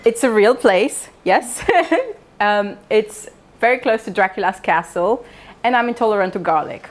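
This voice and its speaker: A woman's voice: medium pitch, normal speed. A woman from Romania with a Romanian accent, giving an informal talk in a conversational way, with joking asides and questions to her listeners. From Transylvania, Romania. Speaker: speaker at a wellness talk. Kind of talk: informal public talk, personal storytelling.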